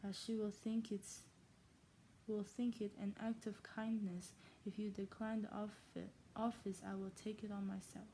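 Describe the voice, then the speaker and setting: A woman playing a dry, teasing older gentleman from a classic novel, in a clumsy put-on German and then Scottish accent, stumbling and restarting words. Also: mid-range voice, level tone, unhurried, a little hiss or hum, close to an everyday voice, poor audio. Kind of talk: amateur read-aloud of a classic novel.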